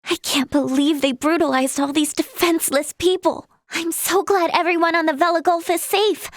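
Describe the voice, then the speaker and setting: A high, youthful heroine's voice for a video game, performed by a woman, recorded close and dry. She is a kind-hearted young healer who speaks earnestly and politely, with a soft touch of hesitancy. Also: dry recording, natural voice. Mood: dismayed, then relieved, glad. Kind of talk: scripted game character dialogue.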